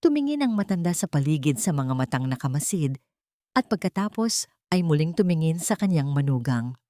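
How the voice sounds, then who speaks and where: Female story narrator, fairly low pitch, solemn and emotive scripted delivery, dry studio-like sound. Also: big pitch swings, brisk, dark tone, no echo.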